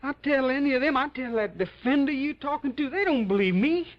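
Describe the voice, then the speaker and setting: An actor in a vintage American radio drama playing a frightened, uneducated young man who pleads haltingly in broken, repetitive dialect. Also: high voice, lively intonation. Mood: anxious pleading. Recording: muffled old radio drama recording.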